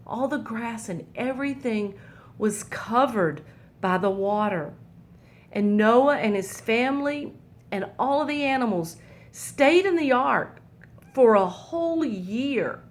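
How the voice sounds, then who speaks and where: A woman telling a Bible story to young children, pointing out the pictures in a gentle, patient way. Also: a little high.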